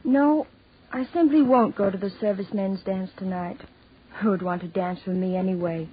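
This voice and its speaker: A woman's voice: mid-range voice, steady tone, normal speed. A woman acting a fretful, run-down woman in a scripted 1940s radio commercial, earnest and theatrical. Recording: husky-sounding tinny 1940s radio recording.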